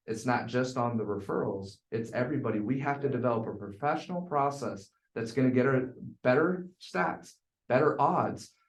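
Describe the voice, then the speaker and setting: Man coaching a group in an echoey room. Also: medium pitch. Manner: blunt, emphatic, repeats phrases for emphasis. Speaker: real estate sales coach.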